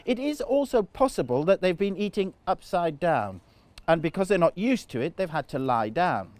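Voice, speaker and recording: A man's voice: high voice, sing-song, moderate pace, a little mellow. Man voicing a prim, serious storybook rabbit. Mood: earnest. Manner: earnest, formal, precise. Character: serious, formal little bunny called Rabbit.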